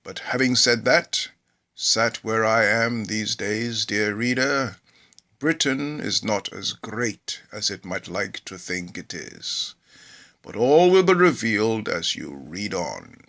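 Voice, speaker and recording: A middle-aged man from Nigeria reading a humorous memoir aloud in a measured, theatrical storytelling manner. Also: on the low side, lively intonation, pushed and bright. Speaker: actor reading a book aloud. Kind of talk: scripted narration of a humorous memoir.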